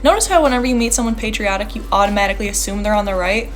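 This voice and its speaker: A young college woman from upstate New York introduces herself bluntly, with strong opinions. Her voice sits at a middle pitch for a woman. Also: rapid-fire, faint music behind. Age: young adult. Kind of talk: self-introduction.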